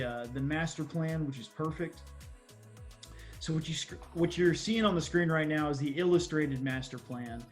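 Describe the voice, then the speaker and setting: Young male presenter, Texan accent, slightly high pitch, semi-formal and explanatory delivery. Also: darkish tone. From small town Texas, USA. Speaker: development manager presenting on a panel.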